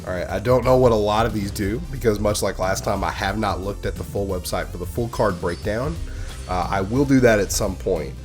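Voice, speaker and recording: A man, hoarse from a cold, chatting casually and getting excited as he opens card packs for his channel. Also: fairly low pitch, fairly quick, soft background music. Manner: chatty, excitable over good pulls. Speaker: gaming YouTuber opening card packs. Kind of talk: casual gaming commentary.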